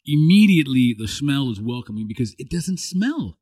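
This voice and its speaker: A man's voice: medium pitch, normal speed, no room sound. A man from Los Angeles hosting a casual podcast, joking and restarting his sentences. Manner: animated, joking, many restarts. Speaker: podcast host. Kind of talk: casual chat.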